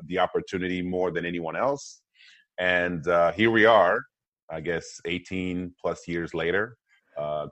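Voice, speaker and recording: A man in his forties who grew up in Congo speaks as a podcast guest, his voice sitting very low. He tells stories in an upbeat way, pausing on "uh" and "um" as he goes. The sound is dry and close, with no room around it. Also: no echo. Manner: warm, upbeat storytelling with frequent "uh" and "um" fillers. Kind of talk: conversational interview.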